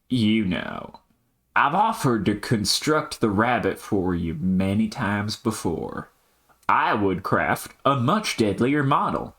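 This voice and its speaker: Man acting deadpan, slow, low-voiced aloof AI. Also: full character voice. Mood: cool, offering. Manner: brusque, flat, wordy and technical. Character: aloof, deadpan, pedantic artificial intelligence autoresponder. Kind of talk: amateur scripted character dialogue.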